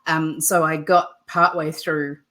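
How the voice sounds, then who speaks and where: A woman's voice: low voice, little pitch movement, slow, sharp tone. A woman, a game designer chatting casually in an interview, with lots of "um" fillers and easy laughter. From New Zealand.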